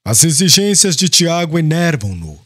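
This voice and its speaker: A man's voice: a little high, big pitch swings, normal speed, fairly bright tone, slightly rough, dry recording. A man narrating a religious audiobook in a solemn, formal storytelling style. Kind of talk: scripted literary religious narration. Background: glockenspiel-like music.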